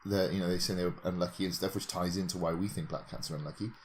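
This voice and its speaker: A man's voice: low voice, steady tone, racing, a little hiss or hum. A British man in casual, jokey podcast banter, rambling as he talks. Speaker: podcast co-host.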